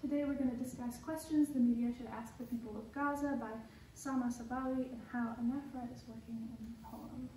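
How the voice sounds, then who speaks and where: A woman's voice: a little high, fairly even intonation, a little fast, mellow. A young adult woman giving a scripted explainer as a student presenter. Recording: roomy, noisy recording, distant mic.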